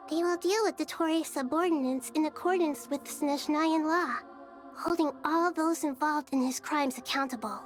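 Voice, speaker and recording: A woman playing a gentle, wise, childlike female deity in a video game, composed and measured with a playful streak. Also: high-pitched, natural voice. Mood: composed, formal.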